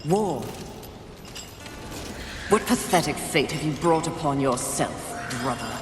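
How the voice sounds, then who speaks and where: A haughty female warrior from a video game. She is sardonic and arrogant, drawing out her words theatrically. The voice echoes heavily, with music clearly behind it. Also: deep voice, expressive, slow pace. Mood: bored, sardonic. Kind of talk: dramatic video game cutscene acting. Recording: echoing long reverb, music behind.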